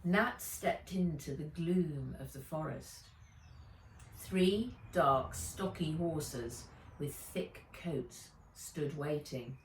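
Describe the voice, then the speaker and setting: A woman narrates a children's adventure book with measured expression. Her voice is fairly low and very unhurried. It sounds echoey, a little away from the microphone. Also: slight background noise. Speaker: author reading own book aloud. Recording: echoey room, distant from mic.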